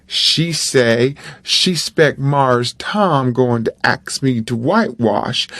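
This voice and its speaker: A man's voice: a little high, fairly bright tone, no echo, heavily acted. A man voicing a timid young errand boy who hesitates and pleads in a storybook reading.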